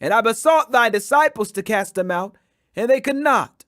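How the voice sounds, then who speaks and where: A man reads scripture aloud in a high, animated voice that climbs sharply whenever he reaches quoted speech. His delivery is emphatic throughout. The recording is close and dry, with no room sound. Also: moderate pace, no room sound. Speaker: Bible reader.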